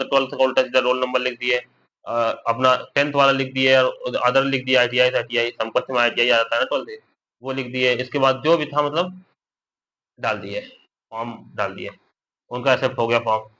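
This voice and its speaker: A young man with a bright, forceful mid-pitched voice explains things informally to his viewers. He sounds slightly under the weather and circles back over his points in plain, colloquial words. The recording is dull and lo-fi. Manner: repetitive, plain-spoken explaining, with colloquial asides to listeners. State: slightly unwell. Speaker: exam news vlogger. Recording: dull lo-fi audio, faint background noise.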